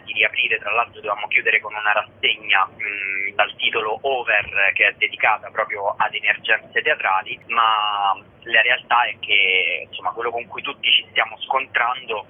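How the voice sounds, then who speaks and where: A man with a fairly low voice answers as a guest in a radio interview. He explains in a measured, spontaneous way. The line is narrow and phone-like, with faint noise. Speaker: interview guest, theatre organiser.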